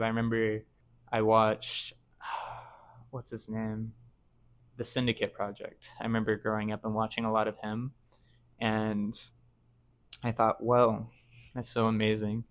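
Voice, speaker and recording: A man in his twenties speaks in a lowish voice that stays fairly flat in pitch. He muses casually and at length, with plenty of fillers and restarts, turning his thoughts over as he goes. He is recorded at home in a relaxed vlog setting. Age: 20s. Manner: rambling, filler-heavy, self-reflective. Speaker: YouTuber.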